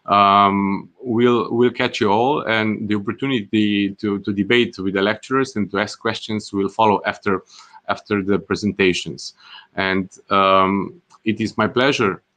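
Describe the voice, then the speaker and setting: Low-voiced man hosting a webinar, hesitant. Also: fairly even intonation, unhurried, fairly bright tone. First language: not Greek. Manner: hesitant, many "uh" fillers and restarts. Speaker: webinar moderator. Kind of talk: presenting an online course webinar.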